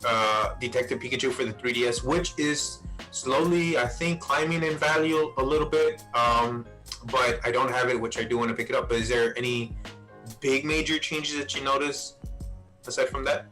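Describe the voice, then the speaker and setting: Male host, bright mid-pitched voice, informal buddy chat. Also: normal speed, low-quality recording. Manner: informal, saying "man" and "bro" often. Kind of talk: casual chat about video game movies. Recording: music playing behind the voice. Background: music.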